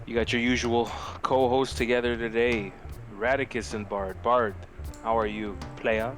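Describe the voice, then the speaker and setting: A man co-hosting a casual podcast, talking in an animated, slangy way and swearing freely. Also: medium pitch, moderate pace, faint music behind. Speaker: podcast co-host. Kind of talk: casual movie-review banter.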